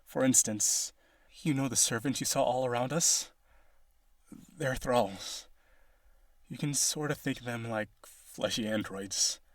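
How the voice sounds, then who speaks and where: A man playing a possessive, needy vampire aristocrat in a romantic audio roleplay, coaxing the listener with eager theatrical delivery and a breathy voice. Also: medium pitch, unhurried, long pauses, no room sound, close to an everyday voice. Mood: proud, coaxing.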